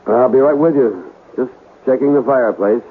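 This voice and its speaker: Casting: male actor for confident, composed paranormal investigator in radio mystery, measured and formal, narrow tinny broadcast with clear noise. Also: mid-range voice, lightly acted. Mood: matter-of-fact. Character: confident, composed male ghost investigator, commanding senior partner. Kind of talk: 1970s radio mystery acting. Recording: noisy, thin vintage radio recording.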